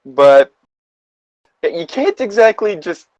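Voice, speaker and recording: A man with a lively, swooping pitch reads a story aloud and chats casually around it. His humor is wry and irreverent, and the sound is close and dry. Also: takes it slowly, no room sound, highs cut off. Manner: wry, irreverent, crude joking. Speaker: host of a comedic fan-fiction reading. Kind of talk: casual banter and mocking story reading.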